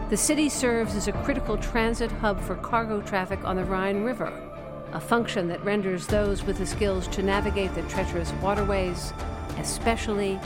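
A woman narrating the opening of a radio drama in a measured, grave way. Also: medium pitch. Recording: loud music bed.

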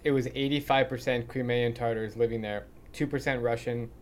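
A man's voice: medium pitch, fairly even intonation, a little hiss or hum. A man hosting a podcast who asks questions in a curious, easygoing way and reacts openly to the answers. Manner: curious, casual, quick to react. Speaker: podcast host. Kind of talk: casual interview conversation.

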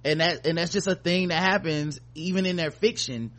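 A man's voice: fairly high pitch, normal speed, fairly bright tone. An American man hosting a podcast, talking informally and opinionatedly, with rambling runs and casual profanity. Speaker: podcast host.

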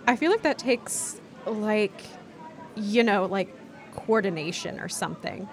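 A woman playing a nosy, scandalized teenage camper in an audio drama, talking casually and haltingly in disbelief. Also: mid-range voice, lively intonation, faint music behind, some chatter behind. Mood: incredulous. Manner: incredulous, halting casual teen talk. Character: nosy, scandalized teenage girl at summer camp. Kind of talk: naturalistic audio drama dialogue.

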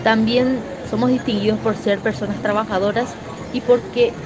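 A woman's voice: on the high side, steady tone, normal speed, background noise. A woman with a Paraguayan accent giving a personal statement.

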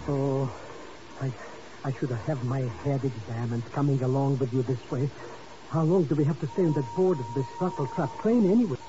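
A man's voice: medium pitch, a little fast, lightly acted. An actor playing a nervous, grumbling, self-serving comic sidekick in a 1950s radio drama, stammering and whining as he complains. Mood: fretful, grumbling. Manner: stammering, whiny, fretful complaining. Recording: noisy, muffled old radio recording.

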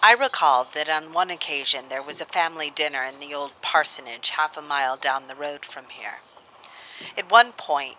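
A solemn woman storyteller speaks for a radio drama with proud, deliberate weight. Her voice is bright and firm, with a faint noise of an old cylinder recording. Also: low voice, low-quality recording.

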